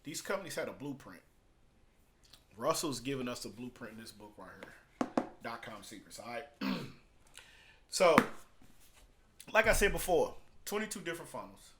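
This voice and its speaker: Guy doing an off the cuff review, regular pitch, slangy and emphatic, says things over again to drive them home. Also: a bit bright. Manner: emphatic, repetitive, colloquial. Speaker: vlogger reviewing a business book. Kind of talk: casual unscripted review and explanation.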